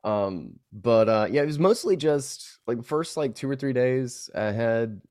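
A man's voice: mid-range voice, expressive, measured pace, darkish tone, no echo, studio quality. A man chatting as a podcast guest, stuffed up from a recent illness, telling stories with lots of fillers and laughs. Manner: rambling, laughing storyteller with many fillers. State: congested, recovering from illness. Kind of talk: casual interview chat.

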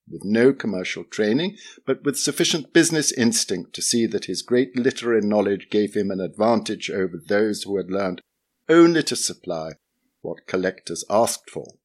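Man reading an old memoir aloud, lowish voice, lots of rise and fall. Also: expressive, moderate pace. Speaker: reader of a memoir essay. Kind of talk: measured reading of a literary memoir.

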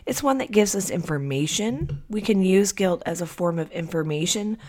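A woman's voice: lowish voice, expressive, moderate pace, darkish tone. A woman hosting a solo podcast in a casual, personal, confiding way, her voice congested from a cold. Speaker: podcast host.

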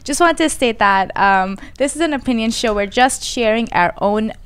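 A woman's voice: fairly high pitch, expressive, moderate pace, clear, resonant tone. A woman in her twenties from Iran, a native Persian speaker, chatting casually as a podcast co-host. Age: 20s. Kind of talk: casual panel discussion.

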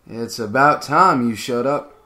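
A man's voice: medium pitch, a little slow, sharp tone. A man voicing an exasperated, sarcastic starship crewman who gets flustered, in a dramatic fan fiction reading. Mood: wry. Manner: stammering, sardonic.

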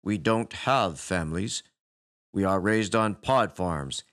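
A man voicing a weary, touchy male space alien who talks like a growling tough guy, deep and gravelly. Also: expressive, moderate pace, a bit bright. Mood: flat.

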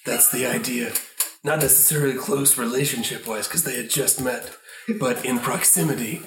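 Casting: male narrator for improvised audio drama, medium pitch, echoey room. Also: moderate pace. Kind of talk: improvised radio play narration.

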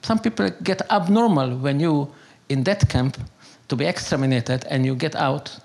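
An older man from Hungary with a Hungarian accent, a native Hungarian speaker, telling his story in halting, broken English with emphatic repetition. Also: a little high, gravelly, darkish tone. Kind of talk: unscripted conversational storytelling and philosophizing.

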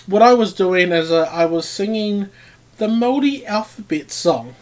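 A man co-hosting a podcast, halting and easily amused, often restarting his sentences. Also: sits high, expressive. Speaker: podcast co-host. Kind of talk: casual podcast banter.

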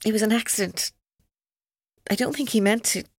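An actress playing a tormented, evasive young Irish woman who speaks haltingly and guardedly in an audio drama. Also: mid-range voice, fairly even intonation, no echo, studio quality, lightly acted. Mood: flustered, embarrassed. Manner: hesitant, halting, guarded, breaking off mid-sentence. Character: tormented, evasive young woman giving police her story. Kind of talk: naturalistic audio drama acting.

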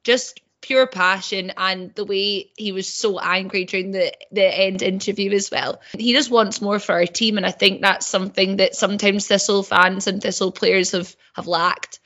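A woman's voice: medium pitch, fairly even intonation, normal speed, hardly any pauses, a bit bright. A woman chatting enthusiastically as a football podcast panelist. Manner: enthusiastic fan. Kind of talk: casual football podcast chat. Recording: dull lo-fi podcast audio.

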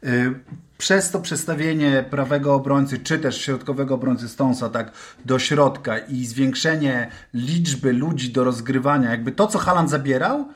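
A man's voice: mid-range voice, moderate pace. A man giving unscripted football analysis on a panel, emphatic, repeating words and hesitating as he builds his point. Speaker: football pundit on a panel show. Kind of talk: unscripted sports discussion.

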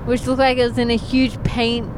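A woman's voice: fairly high pitch, little pitch movement, moderate pace. A woman from New South Wales with an Australian accent, chatting casually inside a moving car. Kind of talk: casual chat. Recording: clear road and car noise.

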